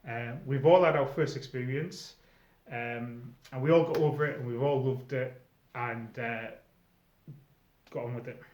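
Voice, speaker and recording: A middle-aged man giving informal advice to viewers in a conversational, emphatic way, often pausing mid-sentence. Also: mid-range voice, darkish tone. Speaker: experienced role player giving advice to newcomers. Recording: echoey room, slightly dull sound.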